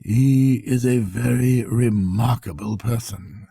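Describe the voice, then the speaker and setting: A man voicing a fastidious, prim male accountant in a storybook reading, speaking precisely, formally and modestly. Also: mid-range voice, gravelly, dark tone, husky, no room sound, exaggerated voice. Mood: measured, admiring. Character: fastidious, prim male colonial chief accountant, mild and faintly annoyed. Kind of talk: storybook character voice in audiobook reading.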